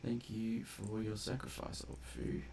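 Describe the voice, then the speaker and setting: A man's voice: on the low side, fairly even intonation, a little slow, gravelly, a little mellow, slight background noise. A man giving casual, playful gameplay commentary, half muttering his reactions to himself. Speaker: game streamer and commentator.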